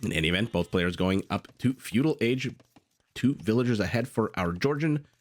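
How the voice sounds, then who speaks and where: A man with a slightly low, raspy voice casts a strategy game match. He talks in a chatty, excitable way and drifts into asides and exclamations. He speaks close to a desktop condenser mic. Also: normal speed. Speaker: game caster. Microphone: desktop USB condenser mic.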